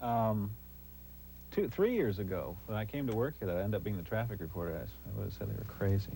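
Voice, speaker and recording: A man's voice: lowish voice, animated, quick pace, creaky. An American man, a radio traffic reporter, talking casually as he is interviewed. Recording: 1980s TV soundtrack, music underneath.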